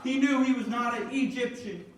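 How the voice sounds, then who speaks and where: A man preaching a sermon, moving from measured scripture reading to emphatic, rising calls. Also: very high-pitched, a little hiss or hum. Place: church sanctuary. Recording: echoey church, mic far off, congregation responding.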